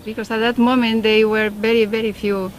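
A middle-aged native Spanish speaker, a woman with a Spanish accent, speaking halting English in a casual group interview and searching for words. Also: fairly high pitch, level tone, a little hiss or hum. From Spain.

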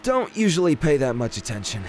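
A man's voice: on the high side, sing-song, brisk, a little mellow, soft background music. A man playing a sullen young prince in a fan audio drama, offhand and sarcastic. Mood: sullen, irritated.

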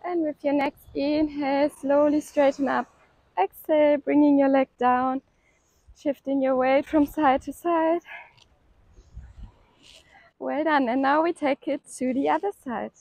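A woman leading a home yoga workout, calmly cueing breaths and poses and encouraging the listener to keep going. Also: sits high, unhurried, long pauses, dry recording, low-quality recording. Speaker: yoga instructor. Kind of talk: guided workout instruction.

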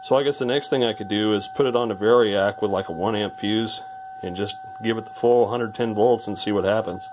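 A man with a fairly low voice talks through hands-on repair work at an indoor workbench. He sounds like a hobbyist, informal and musing aloud as he goes. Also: a little fast, background noise. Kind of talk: casual hands-on repair commentary.

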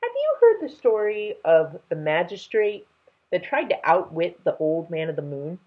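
A woman's voice: on the low side, big pitch swings, normal speed, muffled recording. Woman reading as a kindly, emphatic king. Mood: explaining. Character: kindly, regal male king telling family history.

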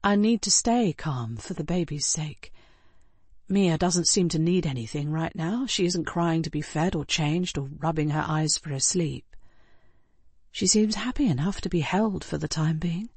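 A woman reading scripted first-person fiction as an audiobook narrator, in an expressive, dramatic way. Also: low voice, a little slow, slightly rough, no room sound.

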